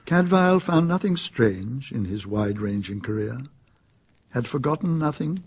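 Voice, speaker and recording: A man with a husky voice reading scripted narration as the narrator of a radio drama. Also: medium pitch, animated, a little slow, darkish tone.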